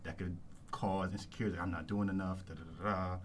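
Fast-talking low-voiced man stumbling through ideas. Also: level tone, slight background noise. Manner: halting restarts, repeats words while thinking aloud. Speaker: podcast guest. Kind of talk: casual group chat. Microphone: studio microphone.

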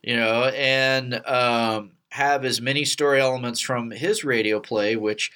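A middle-aged man from Texas with an American accent hosts a casual behind-the-scenes chat, telling stories in a halting, rambling way. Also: mid-range voice, fairly even intonation, sharp tone, clean tone. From Texas, United States. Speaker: podcast host and radio play producer.

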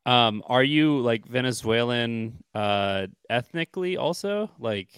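A man's voice: mid-range voice, animated, slow, a bit bright, smooth, dry recording, clean studio sound. A man co-hosting a podcast who asks questions hesitantly, with many restarts. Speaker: podcast co-host. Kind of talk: casual podcast interview.

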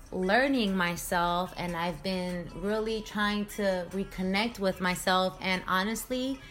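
A woman's voice: mid-range voice, fairly bright tone. A woman in her thirties talking candidly in a casual personal vlog, chatty and loose with slang and the odd swear word. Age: 30s. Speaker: vlogger.

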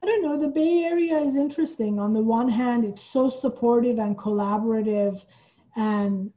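A woman's voice: fairly high pitch, moderate pace, dark tone, muffled recording. A woman from Iran speaking as an interview guest in a thoughtful, conversational way. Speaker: guest, playwright and theater artistic director.

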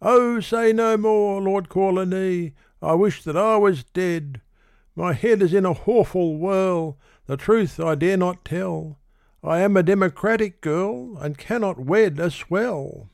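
Man voicing a young bush girl, high-pitched, pleading, melodramatic, recited ballad. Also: takes it slowly, gravelly, darkish tone, smooth, no echo, studio quality, full character voice. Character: proud young democratic bush girl, torn.

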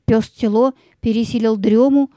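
A woman narrating a children's story in a measured, expressive way, drawing out words for dramatic effect. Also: on the high side, no room sound.

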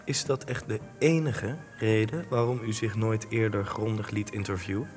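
A man playing a low-voiced interviewer, echoing hall. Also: fairly even intonation, normal speed, darkish tone, close to an everyday voice. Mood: polite, insistent. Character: polite, insistent male documentary interviewer. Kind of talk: radio drama acting. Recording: long hall reverb, faint music.

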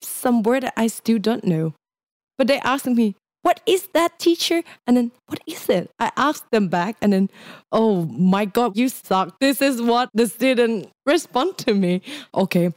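A woman's voice: on the high side, expressive, a little slow, darkish tone, no echo, clean studio sound. A young Thai woman with a Thai accent, speaking English as a second language in a casual, chatty interview and laughing often. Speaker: guest.

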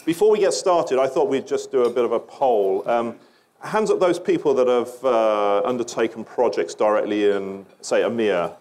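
A man's voice: mid-range voice, moderate pace, darkish tone, slight background noise. A middle-aged British man giving informal, slightly hesitant, self-deprecating opening remarks as a panel moderator.

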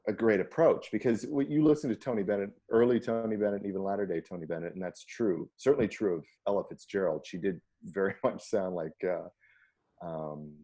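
A man with a very low, mellow baritone talks loosely about music. He rambles with frequent ums and breaks into easy laughs, relaxed and conversational. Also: a little fast. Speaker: singer. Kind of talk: casual conversation.

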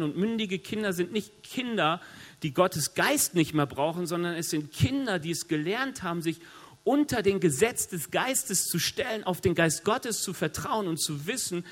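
High-voiced man preaching with a cold. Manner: lively, joking asides, conversational preaching. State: has a cold. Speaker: pastor. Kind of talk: sermon. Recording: long hall reverb.

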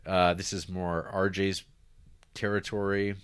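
A man's voice: sits low, little pitch movement, unhurried, smooth. A man co-hosting a podcast, chatting casually about a film, swearing and acting out quotes. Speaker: podcast co-host.

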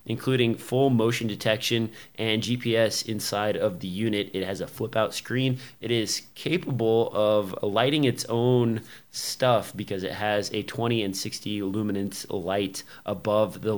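A man's voice: lowish voice, steady tone, measured pace, hardly any pauses. A man hosting a casual tech podcast, talking loosely with lots of fillers. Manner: rambling, many fillers, laughs easily. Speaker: podcast host.

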